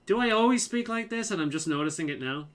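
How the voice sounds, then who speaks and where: A man with a light Liverpool accent chats casually as he plays, his voice bright and fairly high. His pitch swings freely from phrase to phrase. He keeps repeating words and restarting his sentences. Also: lively intonation, fast. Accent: mild Liverpool. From England. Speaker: game streamer. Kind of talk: casual chat while playing.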